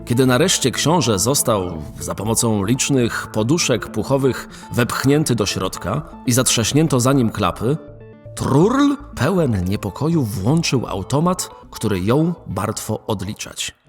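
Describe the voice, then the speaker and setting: Expressive male storyteller. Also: mid-range voice, a little slow. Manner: expressive, animated reading. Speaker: narrator. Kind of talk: storytelling narration of a radio play. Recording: faint background music.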